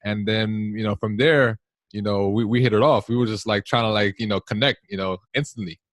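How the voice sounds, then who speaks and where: Chatty low-voiced male podcast host. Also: fairly even intonation, fairly quick, dry recording, clean studio sound. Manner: rambling with frequent fillers. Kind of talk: casual podcast chat.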